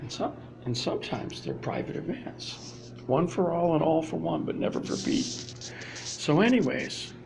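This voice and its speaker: A gravelly-voiced man streams live from a library. His talk keeps restarting and drifting into asides, recorded on a phone or laptop with steady noise underneath. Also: a little high, measured pace. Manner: rambling, restarts, trails off into asides. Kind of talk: rambling live stream monologue. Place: public library indoors. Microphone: phone or laptop camera.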